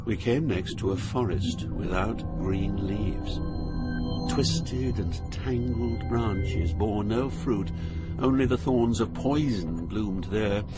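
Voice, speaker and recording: A solemn poet pilgrim, played by a man narrates a journey through Hell. His voice is on the low side and dramatic, full of awe, with music clearly heard behind it. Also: lively intonation, takes it slowly. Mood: uneasy.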